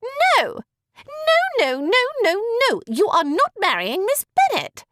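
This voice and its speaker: A shrill, spoiled young lady, as a woman reader puts her on shrieks her objections in a high, piercing, dry voice. She sounds haughty and imperious. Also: wildly swooping pitch, moderate pace, dry recording. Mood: screeching. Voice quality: shrill, screeching.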